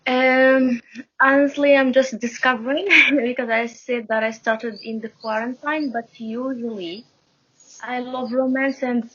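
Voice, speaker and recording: A woman's voice: fairly high pitch, fairly even intonation, measured pace, fairly bright tone, slight background noise. A young woman from Morocco with a Moroccan accent, a native Arabic speaker, chatting as a guest on a video call and laughing often between thoughts. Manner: laughs often, speaks with many fillers and restarts. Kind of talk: casual video call chat. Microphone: phone camera on video call. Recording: muffled lo-fi video call audio.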